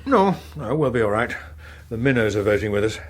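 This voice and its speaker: Middle-aged English politician, grumpy and dry, husky voice, animated delivery, radio drama. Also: male voice, on the low side, brisk. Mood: wry, weary. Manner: dry, exasperated, articulate. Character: harried, wry veteran politician and grumpy father. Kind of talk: British radio drama acting.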